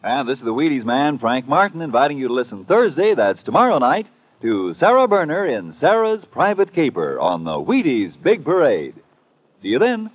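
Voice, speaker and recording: An old, muffled radio recording of an American man pitching as a commercial announcer. His voice is husky and swoops energetically. The delivery is folksy 1950s salesmanship. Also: big pitch swings, normal speed.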